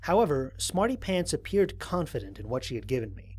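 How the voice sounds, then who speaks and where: A man playing a thoughtful, wary space explorer, narrating scripted mission logs in a measured, articulate, reflective way. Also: on the high side, big pitch swings, a little mellow. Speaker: narrator of a fan audio drama. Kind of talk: scripted first-person mission log narration.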